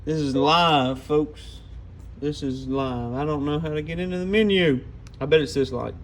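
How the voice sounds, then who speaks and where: A man's voice: on the high side, normal speed, a little hiss or hum. A man giving an informal, off-the-cuff hands-on product walkthrough. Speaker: radio gear reviewer.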